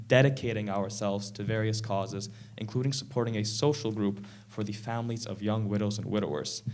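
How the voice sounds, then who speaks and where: A man's voice: lowish voice, darkish tone. Earnest teenage boy giving a stage talk. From Canada. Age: teens. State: slightly sick, clearing throat. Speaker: presenter. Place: event stage.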